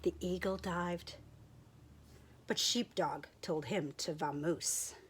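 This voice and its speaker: A woman reading a children's picture book aloud, in a playful, expressive storyteller's voice. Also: on the low side, slow, slight background noise. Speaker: storyteller teacher.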